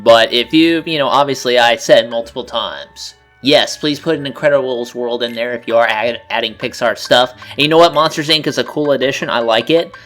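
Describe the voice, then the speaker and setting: Man in casual game commentary, mid pitch. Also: fairly bright tone. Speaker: co-commentator on a video game playthrough. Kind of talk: casual chat. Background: game music.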